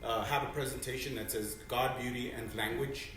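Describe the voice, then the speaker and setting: A man's voice: medium pitch, fairly even intonation, a bit bright, a little hiss or hum. Distant man asking from the floor. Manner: polite, halting, searching for words. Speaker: audience member asking a question. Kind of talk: question from the floor. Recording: echoey hall, far from mic.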